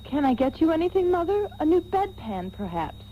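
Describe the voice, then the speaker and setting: A dutiful, slightly dim heiress in a comic radio soap. Her high voice rises and falls with earnest melodrama over a faintly humming old tape. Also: very animated, brisk, muffled recording, a little hiss or hum. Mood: solicitous, puzzled. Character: dutiful, earnest rich daughter, naively obtuse.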